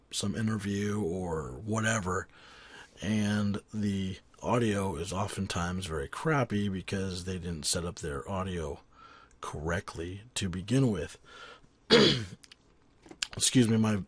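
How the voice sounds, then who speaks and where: A man teaching audio cleanup in a casual tutorial, rambling with frequent ums and restarts, his voice raspy from a cold. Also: sits low, takes it slowly. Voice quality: husky. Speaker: sound engineer teaching a tutorial. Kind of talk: casual screen-recorded software tutorial.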